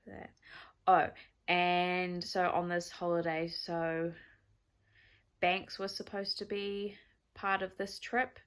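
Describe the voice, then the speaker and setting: A woman's voice: fairly low pitch, flat delivery, takes it slowly, pauses often, smooth. A young woman with a New Zealand accent chatting casually in a reading vlog, rambling and self-deprecating. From born in Scotland, raised in New Zealand. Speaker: book vlogger.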